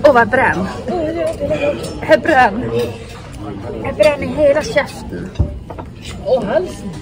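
A woman in her thirties vlogging on a phone at arm's length, chatting casually with friends, laughing often and swearing as she tells stories. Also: high voice. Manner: laughing, swearing, lively storytelling. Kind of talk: casual vlog chat. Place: breakfast room, shopping mall and parked car. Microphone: phone held at arm's length. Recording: noisy, with crowd chatter behind.

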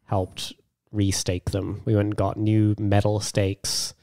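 A man hoarse and raspy with a cold, chatting casually as a podcast co-host in a sweary, self-deprecating way. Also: on the low side, completely flat delivery, slow, mellow, no echo. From a subtropical island. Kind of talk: casual chat.

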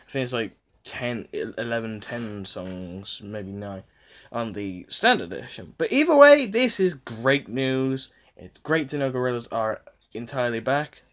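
A giddy young man in his twenties gushes into a phone held at arm's length in a small bedroom. His voice sits at a middling pitch, and he lurches from near-shouts down to breathy whispers. He swears freely as he raves. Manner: giddy and gushing, swings from shouting to hushed whispers, swears a lot. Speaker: vlogger. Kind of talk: excited reaction vlog.

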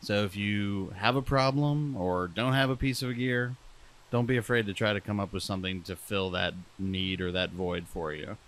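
Easygoing rambling man, slightly low voice. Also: fairly even intonation, normal speed, a bit bright, slight background noise. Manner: rambling, self-deprecating, many restarts. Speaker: podcast co-host. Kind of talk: casual podcast chat.

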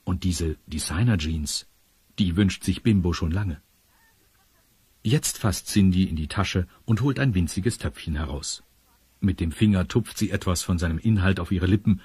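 Deep husky guy narrating a kids audio play, close dry sound. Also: moderate pace, darkish tone, no echo. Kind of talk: scripted children's radio play narration.

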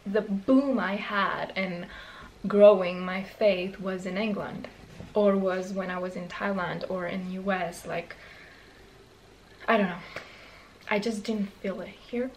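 A woman's voice: medium pitch, steady tone, slow, long pauses, a little mellow, a little hiss or hum, low-quality recording. A woman in her twenties with a Romanian accent, talking candidly to camera in a small bedroom, rambling with many fillers and restarts. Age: 20s. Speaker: vlogger. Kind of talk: casual talk to camera.

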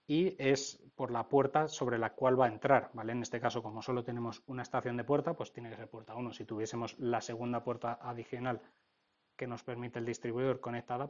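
Man, Spain Spanish accent, tech instructor, informal tutorial narration, explanatory, dull lo-fi recording. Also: mid-range voice. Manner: explanatory, often checking with "vale?". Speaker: technical instructor.

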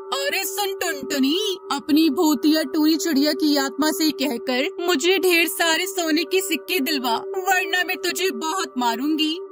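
Lady doing a greedy crow stepmom in a kids cartoon, really high scolding voice, music behind. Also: measured pace. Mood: threatening. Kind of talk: children's cartoon story dubbing.

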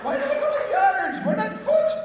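A shrill, exasperated police officer complains in a wildly animated voice. It is live comedy over a loud crowd. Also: male voice, normal speed. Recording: echoey hall, noisy crowd.

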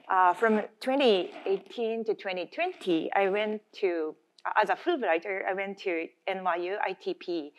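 A middle-aged Japanese woman speaks at a conference lectern in a slightly low voice. Her English carries a Japanese accent and comes haltingly, with restarts as she searches for the word. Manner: halting, searching for words in a second language. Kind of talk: conference presentation. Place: indoor conference room, at a lectern. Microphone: gooseneck lectern microphone.

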